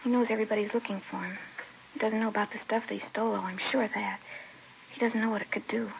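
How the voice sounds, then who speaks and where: A woman's voice: mid-range voice, steady tone, quick pace, vocal fry, lightly acted. An actress playing a troubled, reluctant teenage girl witness in a 1950s radio crime drama. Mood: anxious, reluctant. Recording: dull, noisy old radio recording.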